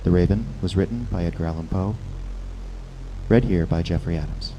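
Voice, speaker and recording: A man's voice: low-pitched, moderate pace, dark tone, muffled recording, soft background music. A man, a radio theater performer, giving credits and bantering on stage before a live audience.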